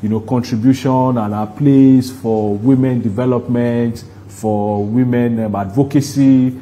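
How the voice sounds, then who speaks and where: A man with a Nigerian accent answering interview questions in a deliberate, halting way, explaining things at length. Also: mid-range voice, fairly even intonation, mellow, slight background noise. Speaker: guest, stage play producer.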